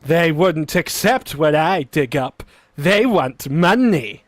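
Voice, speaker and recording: An actor playing a soft-spoken, gloomy undertaker who delivers his puns in a slow, mournful deadpan, in the style of vintage radio comedy. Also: a little high, dry recording, clean studio sound.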